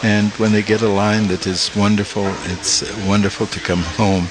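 Halting older man with a deep voice. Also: little pitch movement, a crowd in the background, low-quality recording. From Italy. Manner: halting, with many fillers and restarts. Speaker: guest, small press publisher. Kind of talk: interview conversation.

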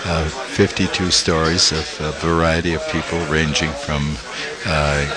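An older man from Italy, a small press publisher, being interviewed and speaking haltingly with many fillers and restarts. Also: very deep voice, little pitch movement, over loud music. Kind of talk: interview conversation.

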